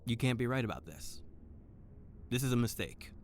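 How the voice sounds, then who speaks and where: An actor playing an earnest, trusting, soft-hearted detective in a noir-style audio drama, a little hesitant. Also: male voice, mid-range voice, expressive, moderate pace, a little hiss or hum, natural voice. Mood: doubtful, defensive. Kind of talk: scripted noir-style audio drama with first-person narration.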